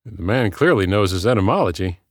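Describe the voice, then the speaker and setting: Man voicing a wry, posturing fellow, low-pitched. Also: animated, a little fast, dry recording, clean studio sound. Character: wry, jealous man puffing himself up.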